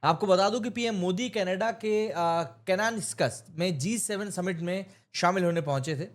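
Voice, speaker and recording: A man's voice: sits high, normal speed. An Indian man giving an opinionated news explainer, speaking emphatically and mixing in English terms. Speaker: news commentator.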